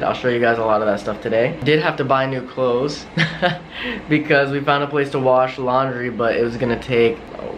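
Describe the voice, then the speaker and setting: A man's voice: medium pitch, a little hiss or hum. A young man from the United States vlogging his travels, chatting casually straight to a camera held at arm's length, often saying "like". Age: young adult. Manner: chatty, talks straight to camera, many "like" fillers. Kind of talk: casual travel vlog narration. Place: outdoors on forest paths and indoors in a room. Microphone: handheld camera at arm's length.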